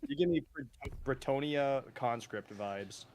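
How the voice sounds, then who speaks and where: A man's voice: medium pitch, a little slow, a little mellow. A man chatting with a friend on a game stream, rambling with lots of fillers and laughing often. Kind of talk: casual gaming chat.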